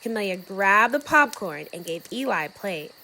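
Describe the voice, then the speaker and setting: A woman reading a children's book aloud as expressive, rhythmic, dramatic storybook narration. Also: medium pitch, normal speed, low-quality recording. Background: music.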